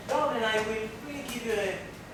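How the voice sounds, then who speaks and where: A man's voice: sits high, normal speed. A man from Israel with an Israeli accent, giving a workshop lecture in English, speaking carefully and restarting phrases often. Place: large lecture room. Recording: very echoey room, distant mic, noisy.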